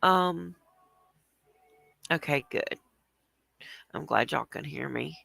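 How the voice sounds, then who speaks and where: A woman's voice: low-pitched, slow pace, vocal fry, no echo. A woman, host of a casual livestream, talking in a chatty, rambling way with a stuffy, congested voice. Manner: chatty, rambling, greets viewers, soft laughs.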